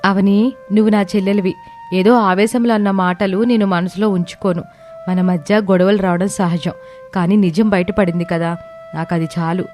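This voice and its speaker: A woman voicing a kind, forgiving elder sister-in-law who speaks gently and consolingly in a family story. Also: medium pitch, steady tone, moderate pace, faint music behind, natural voice.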